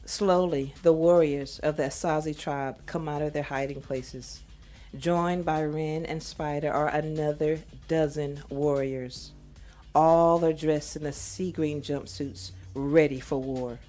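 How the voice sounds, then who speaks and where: A woman reading scripted audio drama narration in a measured, descriptive manner. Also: low-pitched, fairly even intonation, mellow, faint music behind.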